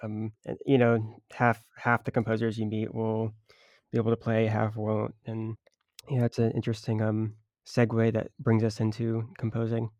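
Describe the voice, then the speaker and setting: A man's voice: fairly low pitch, completely flat delivery, moderate pace, a little mellow, no room sound. A man interviewing a composer, asking long rambling questions full of fillers. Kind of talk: casual interview.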